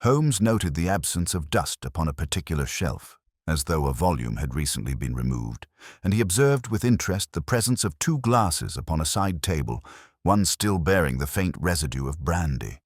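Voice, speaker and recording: A man with an extremely low voice narrates a classic mystery. His scripted reading rises and falls expressively, and the sound is dry and close. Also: very animated, normal speed, dry recording. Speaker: audiobook narrator.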